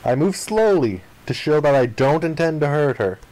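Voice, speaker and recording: A man's voice: fairly high pitch, lively intonation, normal speed, darkish tone, low-quality recording. A man reading visual novel narration and dialogue aloud, acting out the lines as he goes. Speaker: gaming video player reading aloud.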